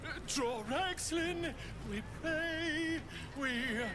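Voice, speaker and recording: An actor playing a befuddled, timid video game character who stammers and hesitates with flustered politeness. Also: male voice, extremely high pitch, very slow, music in the background, exaggerated voice, low-quality recording.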